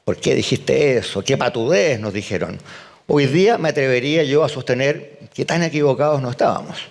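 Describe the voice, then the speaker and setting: A man's voice: medium pitch, lively intonation, a little fast, creaky. An older man giving a conference keynote as an economist, emphatic, firing rhetorical questions and answering them at once. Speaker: economist speaker. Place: event hall.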